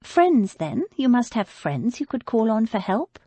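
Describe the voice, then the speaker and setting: Genteel young woman, polite and hesitant, breathy, lively tone, read by a woman narrator. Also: a little high, unhurried, no echo, pitched above the performer's own voice, exaggerated voice. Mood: earnest.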